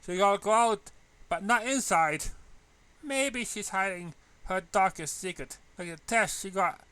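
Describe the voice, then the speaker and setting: A man voicing a bubbly, energetic, friendly teenage schoolgirl in a chirpy, playful way. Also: sits high, a little slow, clear, resonant tone, pitched above the performer's own voice.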